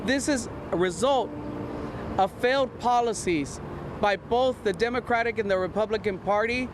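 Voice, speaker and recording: Man speaking at a vigil, real high voice, forceful, loud traffic all around. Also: normal speed. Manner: forceful and emphatic. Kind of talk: public speech.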